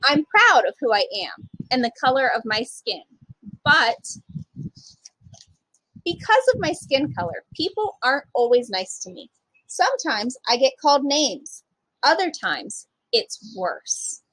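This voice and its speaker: A woman's voice: high voice, sing-song, a little slow, a bit bright, no echo, poor audio. A woman reading aloud to young children as a storytime reader, speaking to them directly in simple, child-directed phrasing.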